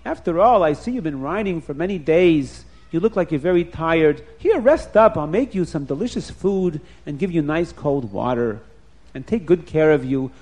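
A man voicing a kind, hospitable young wife who speaks eagerly and warmly. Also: deep voice, expressive, moderate pace, slightly rough. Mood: welcoming. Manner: eager, welcoming.

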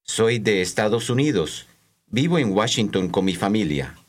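Man, low voice, animated pitch, careful enunciation, course dialogue reader. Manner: slow, careful enunciation for learners. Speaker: language-course dialogue and model speaker. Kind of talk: scripted dialogue reading.

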